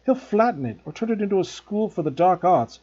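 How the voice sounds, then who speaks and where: Man doing a determined schoolboy, urgent and earnest, lively pitch, dark husky voice. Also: brisk, lo-fi sound. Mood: defiant.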